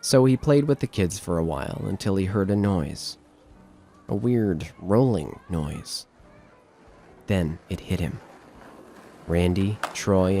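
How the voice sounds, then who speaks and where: A man's voice: low-pitched, a little mellow. A man narrating a scripted horror story.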